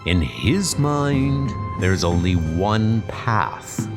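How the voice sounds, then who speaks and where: A man playing a calm, solemn alien hero and mentor, measured and reassuring, in a comic dub. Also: lowish voice, over music, heavily acted.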